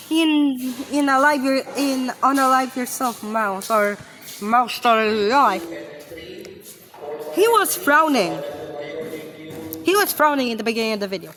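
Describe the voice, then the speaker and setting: A woman's voice: high voice, a bit bright, slight background noise. A woman giving casual, off-the-cuff reaction commentary in a blunt, argumentative way, often stumbling and repeating words. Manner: blunt, halting and repetitive, argumentative. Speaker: reaction video host.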